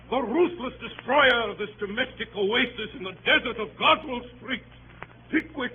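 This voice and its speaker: A grandiloquent Victorian barrister in an old radio drama, high-voiced and extremely forceful. He hectors and orates, swinging from hushed to booming. The recording is dull, with some hiss and noise. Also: full character voice. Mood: mournful, grandiose. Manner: bombastic, grandiloquent, sharply emphatic, swooping from hushed to booming. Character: pompous, theatrical, bullying male Victorian barrister. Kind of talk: 1930s radio acting, courtroom oratory. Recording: dull, noisy vintage 1930s radio recording.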